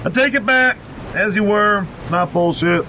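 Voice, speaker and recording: A man's voice: normal speed, sharp tone. A man hosting a podcast, riffing sarcastically and profanely as he reads and mocks a horror story. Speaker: podcast host. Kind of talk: casual comic riffing while reading a horror story aloud. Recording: noisy, low rumbling background hum.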